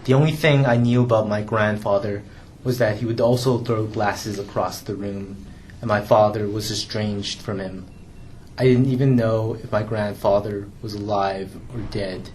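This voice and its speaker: A man's voice: fairly low pitch, steady tone, a little mellow, a little hiss or hum, rough recording. Young man reading his memoir. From Canada. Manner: measured, restrained reading with occasional small stumbles and repeats. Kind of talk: literary reading aloud.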